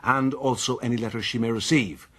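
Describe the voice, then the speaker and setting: A man playing a cold, controlling gentleman who rules wife and servants with formal commands in a period radio drama. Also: medium pitch, steady tone, fairly quick. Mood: insistent, guarded. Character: cold, controlling gentleman husband, master of an estate.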